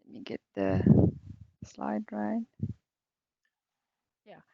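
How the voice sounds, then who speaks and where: A woman's voice: mid-range voice, big pitch swings, very slow, vocal fry, a little hiss or hum. A woman in her forties with a Sri Lankan accent, originally from Sri Lanka, speaking as a guest at an online conference in a chatty, upbeat way, with lots of fillers and small laughs. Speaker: guest speaker, cybersecurity company director. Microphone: video call. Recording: dull lo-fi video call audio.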